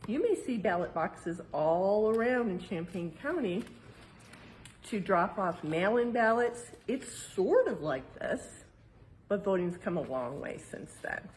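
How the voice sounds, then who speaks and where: A woman with a medium-pitched voice reads aloud in the style of a museum educator at storytime. She breaks off into plain, casual explanations and often checks in with short tag questions. The recording is made indoors, with faint but steady room noise behind her. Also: takes it slowly, poor audio. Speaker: museum educator reading a picture book aloud.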